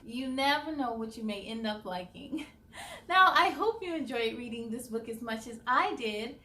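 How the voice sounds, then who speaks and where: A woman reading a children's picture book aloud in an expressive, encouraging way, as if speaking directly to young listeners. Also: fairly high pitch, normal speed. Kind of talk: children's read-aloud storytelling.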